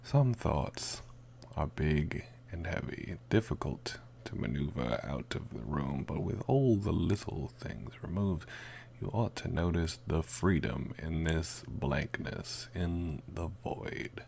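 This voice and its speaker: A man playing a mysterious, courtly carnival mesmer in an ASMR roleplay, speaking softly with formal, old-fashioned theatrical phrasing and breathy, creaky moments. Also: very deep voice, expressive, takes it slowly, slight background noise, exaggerated voice. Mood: soothing, hypnotic. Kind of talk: ASMR roleplay, guided sleep meditation.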